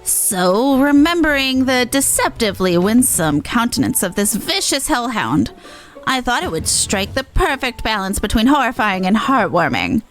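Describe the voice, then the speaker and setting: A woman voicing a pompous, gleefully macabre demon showman from a game, with a grandiose, drawn-out delivery. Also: a little high, sing-song, soft background music, low-quality recording. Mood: wry, grand. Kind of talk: voiced reading of game dialogue.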